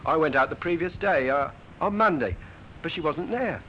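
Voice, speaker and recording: A man's voice: fairly high pitch, lively intonation, moderate pace, pushed and bright. An actor playing a polite young postal boy, hesitant and deferential, in an old radio drama. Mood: eager, nervous. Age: young adult. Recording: dull, noisy old radio recording.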